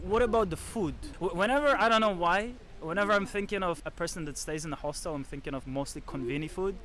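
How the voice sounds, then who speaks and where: A man's voice: sits high, quick pace, a bit bright, slight background noise. A man hosting casual street interviews outdoors, asking short, informal questions. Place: outdoors at a busy snow festival in Japan.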